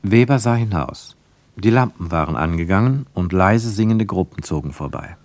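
A man narrating a radio travel drama in a measured storytelling manner. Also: deep voice, animated, darkish tone, no echo. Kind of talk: scripted radio play narration.

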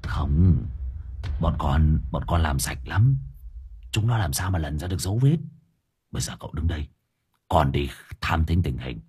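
A coarse, foul-mouthed sidekick in a comic folk tale, as a man acts him out, growling low and rough. His husky voice sits well below the narrator's own and often drops to a mutter. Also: lively intonation, normal speed, pauses often, darkish tone, exaggerated voice. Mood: dismissive. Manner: gruff, coarse, grumbling. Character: gruff crude male sidekick, coarse and cursing.

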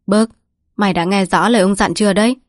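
A woman voicing a cold eldest grandson in a story, speaking with curt authority and quick threats. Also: mid-range voice, no echo, close to an everyday voice. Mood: commanding.

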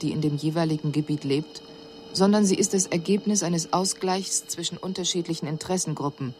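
Low-voiced actress as a detached female alien observer. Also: a little mellow, slight background noise, close to an everyday voice. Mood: detached, critical. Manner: clinical, matter-of-fact, measured. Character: detached female extraterrestrial observer reporting on human customs. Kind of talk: radio drama report narration.

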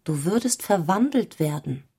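An actress playing a stubborn, intense, strange young wife in a literary radio drama, speaking insistently. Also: female voice, on the low side, expressive, moderate pace, dark tone, slightly rough, exaggerated voice.

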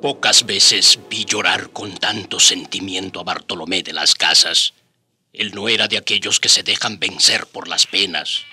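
Low, forceful storytelling friar. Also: male voice, fairly even intonation, unhurried, heavily acted. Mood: solemn storytelling. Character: companion friar recounting the old bishop's life. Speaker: narrator. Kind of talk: scripted radio drama narration.

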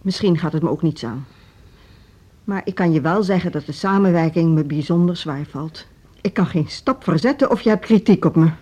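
An actress playing a firm school director in a naturalistic radio drama, speaking in a cool, measured, formal manner. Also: female voice, lowish voice, lively intonation, a little mellow. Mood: cool, defensive. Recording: dull, muffled radio play recording.

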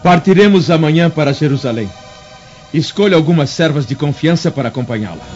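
Actor as stern, higher-pitched Roman senator. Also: male voice, animated, fairly quick, a little mellow, close to an everyday voice. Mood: determined. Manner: commanding, curt. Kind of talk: radio drama acting. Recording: muffled audio with faint music.

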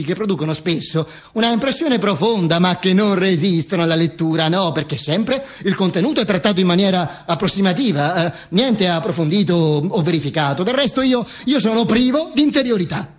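An actor playing a vain, ranting, self-mocking dead dictator in an emphatic, declamatory theatrical radio monologue. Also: male voice, high voice, lively intonation, brisk, few pauses. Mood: vain, boastful. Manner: emphatic, declamatory, with insistent repetitions and interjections. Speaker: stage actor performing own monologue.

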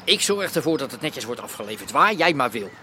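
An actor playing a pushy, combative underworld dealer in a Dutch radio drama, brash and challenging. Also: male voice, medium pitch, sing-song, brisk, bright, forceful tone, slight background noise. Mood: brash, persuasive.

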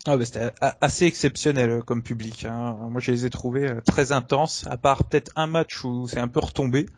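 A man's voice: medium pitch, steady tone, fairly quick. A man with a southwestern French accent, a podcast guest who speaks hesitantly and repeats words as he restarts. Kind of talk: casual podcast chat.

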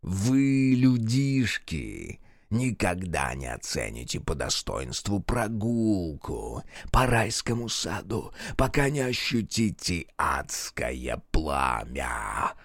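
A man voicing a gloating, mocking male demon in a horror story, speaking slowly and sneering. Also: very animated, gravelly, no room sound, studio quality, full character voice. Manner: slow, low and sneering.

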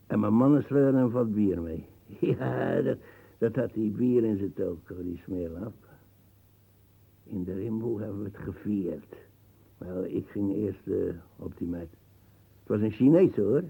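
An actor playing a brutal, boastful old colonial veteran in a radio drama, rambling coarsely and searching for words. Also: male voice, on the low side, vocal fry, dark tone, breathy edge, full character voice, poor audio. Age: older. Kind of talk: radio drama acting, monologue reminiscence.

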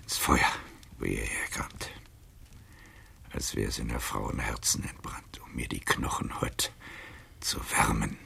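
Casting: proud, wounded old libertine for radio drama. Slow, rasping, theatrical declamation with whispers and outbursts. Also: male voice, very deep voice, fairly even intonation, sharp tone, exaggerated voice. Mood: bitter, proud. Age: older. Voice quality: gravelly, husky, breaking into whispers. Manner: declamatory, swinging from muttering to outcries. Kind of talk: literary radio drama acting in verse.

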